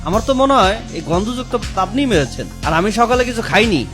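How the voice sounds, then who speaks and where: A man voicing an excitable, argumentative man in a comic cartoon, speaking in colloquial exclamations. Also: high-pitched, a little fast. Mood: indignant, mocking. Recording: music behind the voice.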